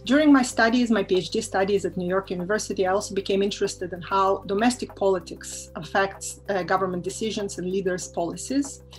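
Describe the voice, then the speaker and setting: A woman from Israel, an assistant professor, talking about her research in a measured way with frequent filler pauses. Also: mid-range voice, soft background music, low-quality recording. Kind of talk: interview-style talk about research.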